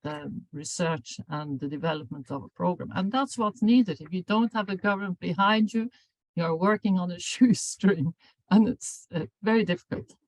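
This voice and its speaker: Older woman giving a measured lecture. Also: fairly low pitch, no echo, rough recording. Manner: measured, formal delivery with frequent self-corrections. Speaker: professor and anti-bullying researcher. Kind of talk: academic seminar lecture.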